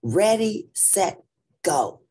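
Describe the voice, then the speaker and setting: Friendly male announcer acted by a woman, showy, storybook contest host, animated intonation, husky. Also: mid-range voice, drawn-out words, pitched above the performer's own voice, exaggerated voice. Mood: excited.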